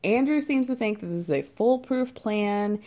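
Young woman, casual gossip commentator, sarcastic and dishy, relaxed dark timbre. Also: medium pitch, measured pace. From United States. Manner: sarcastic, gossipy, lots of fillers and laughs. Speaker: commentator recapping a reality dating show.

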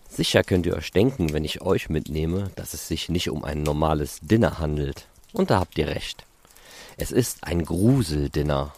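A man's voice: sits low, darkish tone. A man presenting and reporting as the host of a fan podcast. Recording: noisy, ambient background sound.

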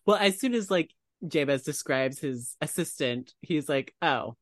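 A man's voice: on the high side, animated, a little slow, fairly bright tone, no echo. Casual man on a podcast, dry sound. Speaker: podcast panelist. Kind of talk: casual chat discussing a TV series.

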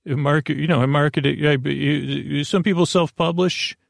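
A man with a creaky, gravelly voice hosting a bedtime sleep podcast, meandering and stumbling whimsically through halting tangents. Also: a little high, steady tone, no echo, studio quality. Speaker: podcast host.

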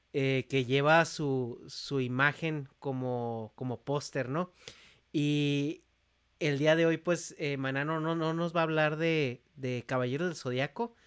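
A man's voice: a little high, clean tone. A man hosting a podcast, introducing things in an informal, friendly, conversational way. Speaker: podcast host.

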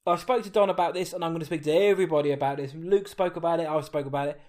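Host: Essex man, a touch high-voiced, chatty, rambles and corrects himself mid-question. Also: very fast, a little mellow. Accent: Essex English. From England. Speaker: podcast host. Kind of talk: casual interview chat.

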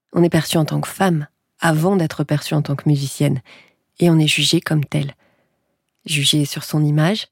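A woman hosting a podcast, reading a scripted narration in a calm, measured way. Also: fairly low pitch, slightly rough, dry recording, studio quality.

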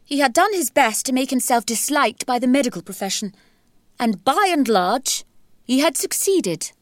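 An actress giving a slow, cutting, deliberate dramatic reading of a quotation. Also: female voice, high-pitched, expressive, no echo, clean studio sound.

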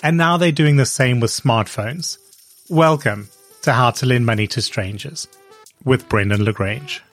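A man's voice: medium pitch, unhurried, fairly bright tone, soft background music. A man hosting a podcast, reading a polished opening and then asking curious, articulate questions. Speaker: podcast host. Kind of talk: scripted intro and interview questions.

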